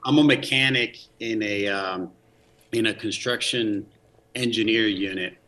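A man's voice: lowish voice, a little slow, bright, forceful tone. A man in his forties from the Dominican Republic, a soldier telling his life story as a guest in a casual interview, with frequent restarts. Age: 40s. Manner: many restarts and fillers, earnest. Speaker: guest, Army command sergeant major.

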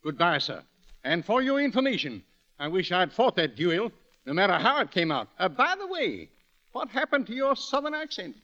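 An actor playing a stiff, deferential opera house manager with a halting, formal manner, in 1940s radio style. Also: male voice, sits high, big pitch swings, bright, forceful tone, full character voice. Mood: stiffly indignant. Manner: halting, formal, obsequious sirs. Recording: muffled old radio broadcast.

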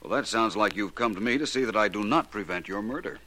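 An actor playing a courteous, cool private investigator in a 1940s radio drama, speaking crisply and without fluster. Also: male voice, on the low side, fast talker, bright, forceful tone. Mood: cool, probing. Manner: polite, crisp, unruffled. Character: Philo Vance, suave adult male private investigator, courteous, cool. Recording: noisy vintage 1940s radio recording.

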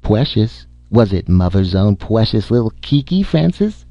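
A haughty young mother as a male narrator puts her on, brisk and easily irritated. The voice is lifted well above his own, sharp in manner, on a slightly dull audiobook recording. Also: mid-range voice, big pitch swings, gravelly, husky, no echo, voice pushed up, heavily acted. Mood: cooing, then sharp. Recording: muffled audio.

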